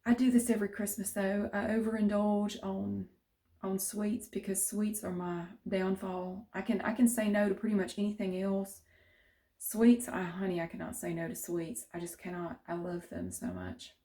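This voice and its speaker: A woman's voice: mid-range voice, moderate pace, mellow. A middle-aged woman from central North Carolina with a Southern accent, chatting casually to camera with many restarts. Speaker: vlogger.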